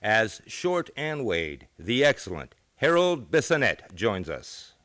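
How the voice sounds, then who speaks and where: Theatrical radio announcer man, lively swooping delivery. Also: lowish voice, slow. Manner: grandiose, theatrical showmanship. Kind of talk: old-time radio scripted announcing. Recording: live theater show with music.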